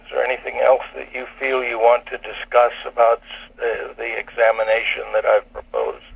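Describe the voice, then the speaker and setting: A man speaking as an attorney on a telephone conference call, formal and measured as he puts procedural questions. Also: mid-range voice, vocal fry, slightly rough. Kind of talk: formal legal phone discussion. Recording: muffled, dull telephone line.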